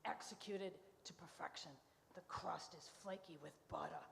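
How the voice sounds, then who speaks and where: Radio comedy pie judge acted: opinionated, needling, fry-edged voice, reverberant live hall. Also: medium pitch, normal speed, fairly bright tone. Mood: emphatic, appraising. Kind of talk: live old-time radio comedy acting. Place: large church hall, live stage show. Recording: noisy, reverberant hall recording.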